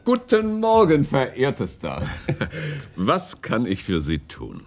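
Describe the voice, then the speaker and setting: An actor playing a blustering, effusive broadcasting director in a radio play, imperious and theatrical in manner. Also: male voice, medium pitch, huge pitch swings, slow pace, heavily acted. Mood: effusive, obliging.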